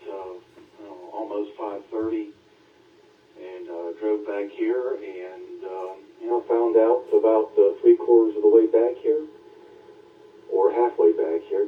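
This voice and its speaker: Weary man over a tinny radio link. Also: on the low side. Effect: ham radio transmission. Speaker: caller on a ham radio net. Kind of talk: casual account of the day over radio. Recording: phone-like radio link, roomy, distant.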